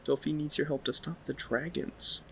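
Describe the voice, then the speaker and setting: A man's voice: on the high side, steady tone, normal speed, a little hiss or hum. A man casually role-playing the player character in video game dialogue.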